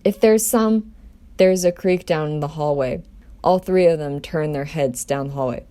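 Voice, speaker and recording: Mellow, lower-pitched woman reading a script. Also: steady tone, moderate pace. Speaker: storyteller reading own script. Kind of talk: amateur table read narration.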